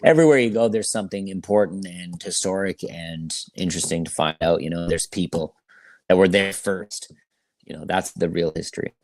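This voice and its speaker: A man's voice: low voice, a little fast, darkish tone, dry recording. A man chatting casually as a musician guest in an interview, with laid-back, slangy phrasing. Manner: laid-back slangy talk, frequent "you know".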